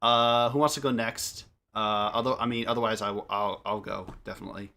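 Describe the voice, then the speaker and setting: A man chatting casually as a podcast member, often halting and rephrasing himself as he goes. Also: on the low side, fairly even intonation, gravelly, bright, forceful tone. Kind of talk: casual group chat.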